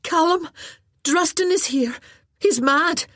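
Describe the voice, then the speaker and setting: A woman's voice: sits high, takes it slowly, a little mellow, no room sound, clean studio sound, voice pushed up. A woman voicing a frightened but brave young bride, speaking in raised, urgent pleas.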